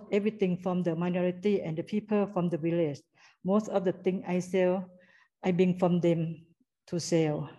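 A middle-aged woman from Thailand with a Thai accent, giving a talk in halting, simple English with restarts. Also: fairly low pitch, mellow. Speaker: guest speaker. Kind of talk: prepared talk over video call. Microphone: video call.

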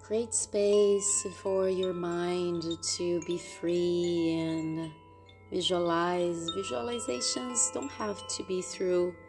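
A woman hosting a guided meditation, speaking gently and unhurriedly, with long pauses between phrases. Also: lowish voice. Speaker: meditation guide and podcast host. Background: meditation music with soft chimes.

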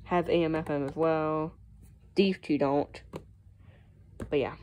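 A young American woman with a low-pitched voice talks casually through a show-and-tell. She is recorded on a handheld phone in a room at home, with faint noise behind her. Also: fairly even intonation, slow, dark tone. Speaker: hobbyist vlogger showing a collection.

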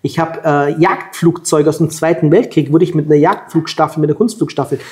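A man from Germany in his forties, telling lively travel anecdotes as the guest at a table interview, on a lapel mic. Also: a little high, fairly quick. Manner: lively anecdotal storytelling, colloquial. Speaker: guest, long-distance scooter traveller. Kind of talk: storytelling in a sit-down interview. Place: room with plants at a table.

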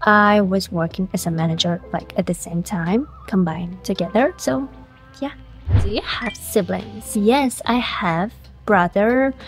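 A young woman from Kazakhstan, a Russian speaker, chatting in English through a casual question-and-answer vlog with a clip-on mic in a high-rise room. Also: a little slow. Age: young adult. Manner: chatty, animated, talks with her hands. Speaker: influencer. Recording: faint music underneath.

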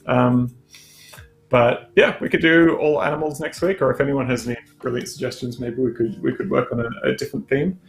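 A young Australian illustrator chatting on a livestream while drawing, relaxed and hesitant with dry humor, on a headset mic. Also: male voice, mid-range voice, brisk, vocal fry, a little hiss or hum, poor audio. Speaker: illustrator guest.

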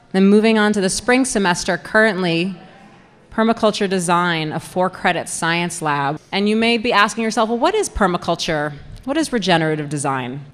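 A young woman giving a prepared, organized public presentation as a program coordinator, speaking into a microphone on a stand. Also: fairly low pitch. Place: indoor presentation room with audience. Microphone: handheld-style mic on stand. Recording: reverberant hall through PA microphone.

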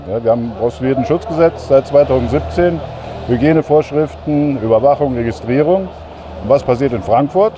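A man's voice: medium pitch, warm tone. A man in his fifties presenting an emphatic on-location report to camera outdoors. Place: city street outdoors. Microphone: handheld mic. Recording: dull outdoor sound, faint street noise.

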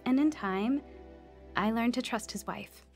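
Radio drama lead: young female convert, earnest, emotional, higher pitch, faint music underneath. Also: a little slow. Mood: reflective. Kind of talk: radio drama acting and narration.

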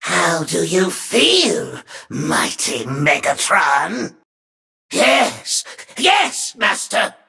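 Casting: vain, treacherous robot warrior in a video game; piercing raspy screech, wildly swooping grandiose delivery. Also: male voice, high-pitched, wildly swooping pitch, drawn-out words, sharp tone, reverberant, heavily acted. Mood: mock servile. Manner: pompous, grandiose, declamatory. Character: treacherous, power-hungry male robot warrior, vain schemer. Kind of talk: theatrical video game character voice lines.

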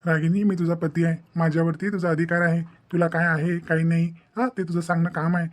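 A man's voice: fairly high pitch, little pitch movement, fairly quick, close to an everyday voice. A man voicing a devoted young husband in a cartoon family drama, earnest and insistent, lecturing with questioning tags in a Maharashtrian Marathi accent. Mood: insistent, caring.

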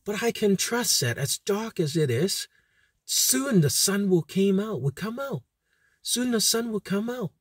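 A man in his thirties giving an informal sermon as a pastor, speaking haltingly with frequent hesitations and repeated words. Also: sits high, expressive, husky, no echo, studio quality. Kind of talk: informal recorded sermon with Bible teaching. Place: inside a parked van at night.

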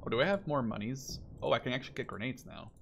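A man in his twenties from the Netherlands, chatting casually while playing a shooter, swearing and yelling when things go well or badly. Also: medium pitch, fast talker, gravelly, a little hiss or hum. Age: 20s. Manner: excitable, swears and shouts at big moments. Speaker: gaming YouTuber. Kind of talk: casual gameplay chat. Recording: faint game gunfire behind.

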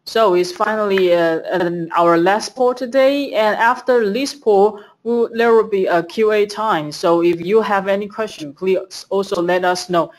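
Webinar host reading slides. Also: female voice, medium pitch, measured pace, few pauses. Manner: reads lists from slides, some fillers. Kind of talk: presenting slides.

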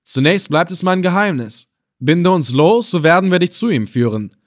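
A man's voice: high-pitched, expressive, moderate pace, pitched above the performer's own voice. A man voicing a proud, fearless captive adventurer in an audiobook, speaking emphatically with bold, taunting defiance. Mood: scornful, bold. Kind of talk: dramatized audiobook adventure reading.

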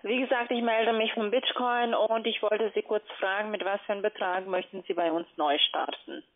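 A woman's voice: mid-range voice, steady tone, moderate pace. Woman w doing phone sales, mid voice, thin tinny line. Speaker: call centre agent. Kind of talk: telephone sales pitch.

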